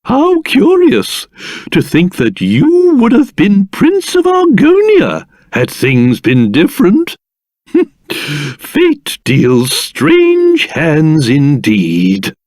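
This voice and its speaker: A man voicing a pompous, fussy, excitable little old king in a video game, speaking theatrically with old-fashioned flair. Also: high-pitched, extremely slow, no room sound, studio quality, exaggerated voice. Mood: tender, reflective. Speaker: voice actor.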